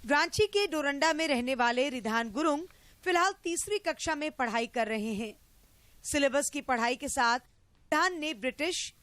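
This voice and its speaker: A woman reporter voices a news package in a crisp, formal read. Her voice is high, and the sound is close and dry. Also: fairly bright tone, no room sound. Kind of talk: scripted report voice-over.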